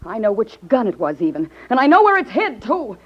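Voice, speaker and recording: An actress playing a tough, confrontational gangster's dame in a 1950s radio drama. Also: female voice, fairly high pitch, huge pitch swings, a little fast, a little hiss or hum. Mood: angry, defiant. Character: tough, defiant gangster's dame turning on her boss. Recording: dull 1950s radio broadcast recording.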